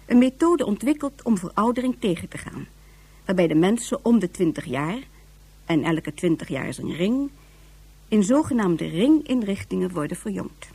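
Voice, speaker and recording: A woman's voice: medium pitch, big pitch swings, moderate pace, a little mellow, breathy edge, no room sound. A woman giving scripted narration for a science-fiction radio serial. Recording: faint music behind.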